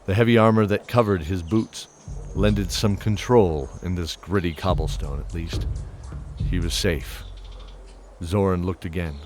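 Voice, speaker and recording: A man narrating a scripted fantasy audio drama in a measured, dramatic storytelling style. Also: low-pitched, darkish tone, slight background noise.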